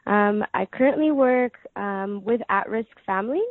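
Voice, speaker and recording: A woman in her twenties answering interview questions casually, hesitant, with many pauses and fillers. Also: mid-range voice, no room sound. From United States. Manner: hesitant, many ums, soft laughs. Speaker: guest. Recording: dry tinny phone-like line.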